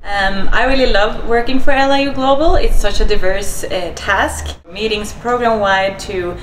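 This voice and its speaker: A woman's voice: medium pitch, normal speed, fairly bright tone, roomy sound, a little hiss or hum, poor audio. Swedish-accented woman speaking to camera. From Sweden. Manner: friendly and matter-of-fact, with a dry sarcastic streak. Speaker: student services coordinator. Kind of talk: scripted staff introduction to camera. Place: small indoor room, seated on a sofa.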